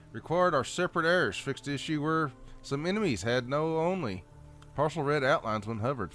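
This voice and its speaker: A man with a Southern American accent reads update notes aloud in a folksy, halting way, adding off-the-cuff comments. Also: medium pitch, a bit bright. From Southern United States. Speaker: gaming channel host.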